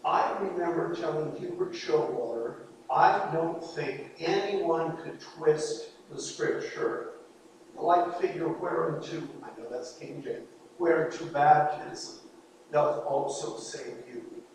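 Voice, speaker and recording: A man with a high voice preaches slowly and deliberately, earnest and pleading as he drives his points home with repetition. He sounds far from the mic, his words ringing through a big echoey hall over faint room ambience. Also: slight background noise. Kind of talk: sermon.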